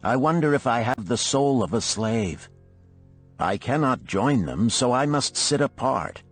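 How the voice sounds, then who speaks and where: A man's voice: medium pitch, lively intonation, a little slow, breathy edge, faint music behind, voice pushed up. A man voicing an earnest, proud enslaved man in a storybook parable, speaking formally and eagerly. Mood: troubled.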